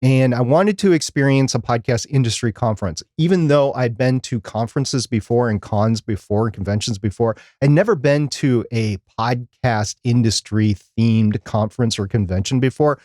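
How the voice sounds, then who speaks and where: A man with a mid-pitched voice talks casually as a podcast co-host. He is emphatic, often circling back over a phrase to stress it, and the sound is close and dry. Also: normal speed, few pauses, darkish tone, no room sound. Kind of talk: casual live-stream podcast talk.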